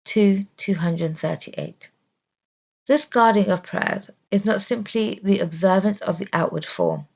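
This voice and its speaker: A woman's voice: medium pitch, very animated, a little slow, creaky, a little mellow, husky. A woman reading a scripted religious study as narration, in a dull, muffled recording. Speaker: reader of religious study text.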